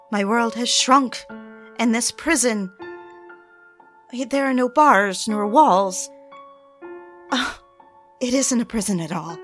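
A lonely, brooding wife thinks aloud in an audio drama monologue. Her voice is fairly high and she speaks very slowly, halting and pensive, over faint music. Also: fairly bright tone. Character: brooding, isolated married woman, growing obsessed and uncertain.

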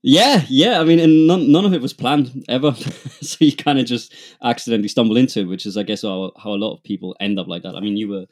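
A man from Germany with a German accent, a music producer and manager talking in a relaxed, rambling, self-deprecating way as a podcast guest. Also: mid-range voice, fast talker. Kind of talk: casual interview chat.

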